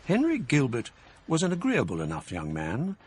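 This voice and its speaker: An actor narrating as a scholar recalling his youth, measured, wry and reflective. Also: medium pitch, wildly swooping pitch, darkish tone, husky. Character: older male scholar narrating his past. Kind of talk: radio drama narration.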